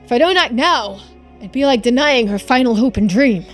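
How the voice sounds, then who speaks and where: A woman's voice: a little high, animated, moderate pace, soft background music, voice pushed up, close to an everyday voice. Woman acting an earnest, guilt-ridden schemer. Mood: desperate. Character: guilt-ridden, determined schemer narrating a mystery game. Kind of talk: dramatic read-aloud of visual novel dialogue.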